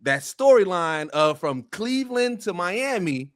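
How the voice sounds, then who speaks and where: A man's voice: high-pitched, big pitch swings, measured pace. A man on a podcast panel explaining his opinions in casual, colloquial talk. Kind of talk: casual pop culture discussion.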